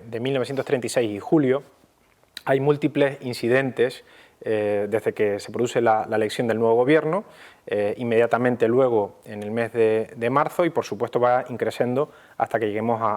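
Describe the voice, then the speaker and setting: A man from gives an unscripted historical explanation at a middling pitch. His delivery is measured and didactic. He often hesitates and repeats a word before carrying on. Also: darkish tone. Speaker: history commentator.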